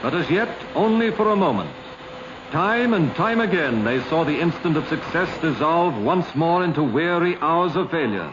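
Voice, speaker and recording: A man's voice: fairly high pitch, animated, moderate pace, background noise. A British man reading formal, literary, dramatic narration in the style of a 1950s documentary. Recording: dull vintage film soundtrack with music.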